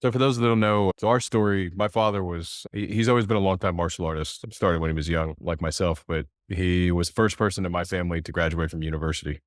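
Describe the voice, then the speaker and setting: Deep-voiced middle-aged American exec, relaxed storyteller, dry close sound. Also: male voice, fast talker, hardly any pauses, no room sound. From United States. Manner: relaxed, conversational, with fillers. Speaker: company executive. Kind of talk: storytelling in an interview.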